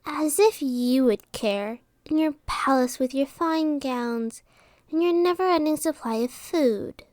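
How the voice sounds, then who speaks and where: A woman plays a guarded teenage village girl with magic. Her voice is high and she speaks slowly and hesitantly, wary and defiant, in a dry, close audio drama recording. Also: a little mellow, dry recording. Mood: defiant, suspicious. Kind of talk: scripted audio roleplay acting.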